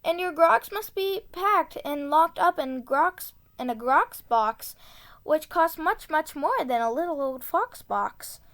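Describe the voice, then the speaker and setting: A woman reading a children's tongue-twister book aloud, enunciating carefully and deliberately. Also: high-pitched, expressive, fairly bright tone. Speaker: storybook reader.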